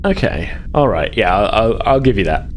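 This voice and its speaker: A man's voice: lowish voice, very animated, moderate pace. A man speaking as game master of a tabletop game, casually narrating and thinking aloud in a hesitant way. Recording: clearly noisy background.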